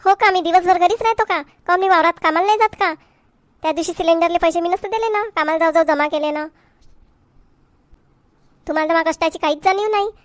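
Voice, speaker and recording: A woman's voice: extremely high pitch, fast talker, pauses often, dry recording. A woman playing an exasperated, hard-working village wife in a comedy cartoon, complaining sharply in a Varhadi Marathi accent. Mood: fed up. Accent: Varhadi Marathi from Vidarbha. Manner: scolding, complaining, sharp-tongued.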